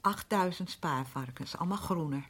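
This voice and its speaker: A worn-down older housewife in a radio play, with a low, husky voice. She rambles plaintively and confides her grievances like someone who has worked all her life. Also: normal speed. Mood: rambling, plaintive. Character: worn-down, chatty older working-class housewife and mother. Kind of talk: naturalistic Dutch radio drama acting.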